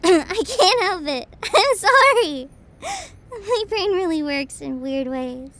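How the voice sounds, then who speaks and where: A woman playing an emotional, self-doubting young best friend in a roleplay audio drama, speaking in a soft, halting, vulnerable way. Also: extremely high pitch, expressive, exaggerated voice. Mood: sheepish, amused. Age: young adult.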